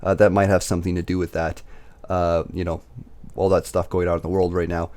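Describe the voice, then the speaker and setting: Young male host, slightly raspy, rapid casual patter with frequent fillers. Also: sits low, level tone, a little mellow. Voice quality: slightly raspy, recovering from illness. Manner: chatty, filler-heavy, self-correcting. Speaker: dividend investing channel host. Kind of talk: casual screen-share commentary.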